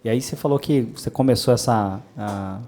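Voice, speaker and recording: A man hosting a podcast in a relaxed, slangy way, with many hesitant fillers. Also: medium pitch, a little fast. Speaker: podcast host. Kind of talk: casual interview chat.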